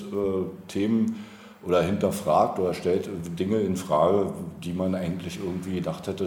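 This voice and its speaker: A man's voice: sits low, dark tone, slight background noise. A man speaking as a politician being interviewed, reflective and hesitant as he searches for words. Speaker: interview guest, local politician. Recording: roomy, echoey indoor sound.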